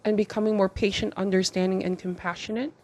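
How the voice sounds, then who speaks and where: A woman hosting a tarot reading, speaking to viewers in short measured phrases with a reflective, advising manner. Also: medium pitch, little pitch movement, a little mellow. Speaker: tarot reader and channel host.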